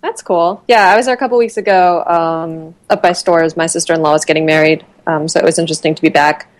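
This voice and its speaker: A woman's voice: fairly low pitch, fairly even intonation, fast, no room sound. A woman in her thirties from Connecticut, a guest chatting casually in an interview, with lots of fillers and restarts. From Connecticut, USA.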